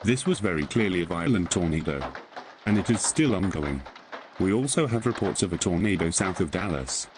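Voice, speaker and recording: A man's voice: deep voice, animated, moderate pace. A man playing an alarmed TV weather reporter giving live storm coverage in short, clipped statements. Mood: grave. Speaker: weather broadcaster. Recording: clear background noise.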